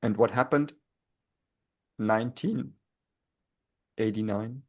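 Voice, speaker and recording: A man's voice: fairly low pitch, takes it slowly. A man in his forties with a German accent, co-hosting a casual podcast chat in a joking, self-mocking way, stopping and restarting often. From Germany, grew up in East Berlin. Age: 40s. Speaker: podcast co-host, language teacher.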